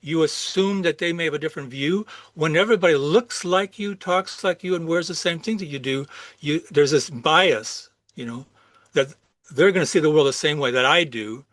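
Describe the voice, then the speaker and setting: A man's voice: a little high, moderate pace, fairly bright tone, no echo. A man with a Chicago accent, talking casually in a group discussion with frequent restarts. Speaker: discussion participant.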